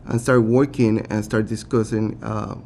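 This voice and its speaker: A man with a Latin American Spanish accent speaks in a low, gravelly, mellow voice, earnest and halting, full of fillers and restarts. He is a city council candidate answering at a forum. A faint rumble sits under the recording. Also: flat delivery.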